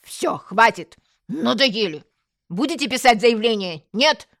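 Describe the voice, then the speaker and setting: A gruff, worn-out policeman, put on by a woman narrator talks in curt official phrases. He is matter-of-fact and short on patience, in a dry storybook recording. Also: low voice, lively intonation, slow, dark tone, no room sound, voice pushed down, full character voice. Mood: exasperated. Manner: curt, matter-of-fact, barking when annoyed.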